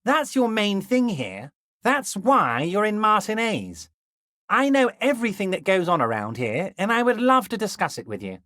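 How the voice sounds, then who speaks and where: A man voicing a scheming, jovial middle-aged male union boss in a video game, smooth and ingratiating with a slyly patronizing edge, drawing out key words slowly. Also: sits high, sing-song, sharp tone, dry recording, clean studio sound, exaggerated voice. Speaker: dock union leader character.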